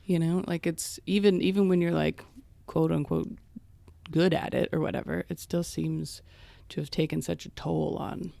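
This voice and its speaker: Female podcast co-host, strong vocal fry, playful and self-mocking, dry close sound. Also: low voice, little pitch movement, normal speed, dark tone, no room sound. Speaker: podcast co-host and stand-up comic. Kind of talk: casual chat.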